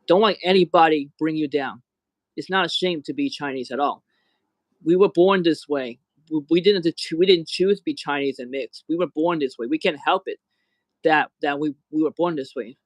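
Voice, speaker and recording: A man's voice: fairly high pitch, moderate pace, no echo, poor audio. A man hosting a livestream who talks in an angry rant, often repeating words and restarting his sentences. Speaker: livestream host.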